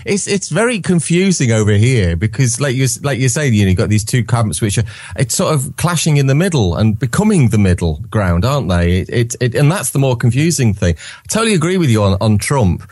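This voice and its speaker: A man's voice: mid-range voice, sing-song, fairly quick, darkish tone, no room sound, clean studio sound. A man hosting a podcast, chatting casually with tag questions and stumbling restarts. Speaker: podcast host. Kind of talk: casual conversation.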